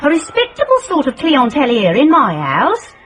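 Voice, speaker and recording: A woman's voice: sits high, huge pitch swings, moderate pace, a bit bright, slight background noise, heavily acted. An actress playing a wary, defensive landlady in a radio drama, indignant about her respectability. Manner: suspicious, indignant, guarded.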